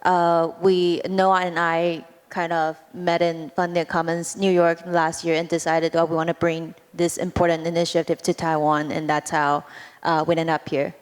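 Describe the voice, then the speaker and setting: Woman, flat even delivery, smooth clear voice, long hall reverb. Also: low voice, moderate pace. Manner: chatty, many fillers. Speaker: panelist, event organizer. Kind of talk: casual panel talk.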